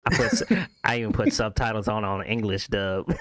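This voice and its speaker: A man's voice: fairly low pitch, animated, moderate pace, dry recording. Blunt, foul-mouthed man in casual conversation. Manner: blunt, heavily profane. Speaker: movie review co-host. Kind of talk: casual film discussion.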